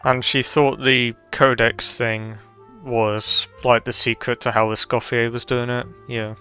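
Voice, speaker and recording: A man's voice: medium pitch, flat delivery, measured pace, bright, forceful tone, faint music behind. A man streaming a video game, giving casual, offhand reactions as he plays.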